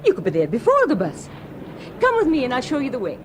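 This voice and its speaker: Radio drama: practical young local woman, high and lively, outdoor ambience. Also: fast. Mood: brisk. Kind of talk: 1970s radio drama acting. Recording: outdoor scene with clear noise.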